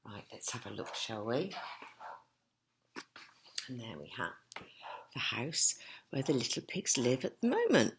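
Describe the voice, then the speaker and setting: A woman's voice: a bass voice, huge pitch swings, unhurried, pauses often, a little hiss or hum. A woman reading a picture book to children, talking to them directly in an encouraging, teacherly way. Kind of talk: animated picture-book read-aloud with asides.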